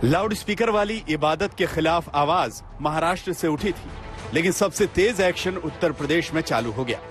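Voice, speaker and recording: A man's voice: on the high side, lively intonation, normal speed. A man reading a scripted TV news report as a voice-over. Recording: voice-over with music bed. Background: background music.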